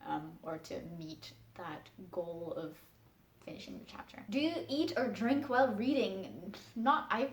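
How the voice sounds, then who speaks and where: A young woman, a book vlogger chatting frankly to camera and keeping her voice in a low register. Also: animated, a little slow, roomy sound. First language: Dutch. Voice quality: speaks in a low register in English. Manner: chatty, frank, laughs often, emphatic refusals. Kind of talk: casual answers to a tag video, talking to camera. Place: room at home.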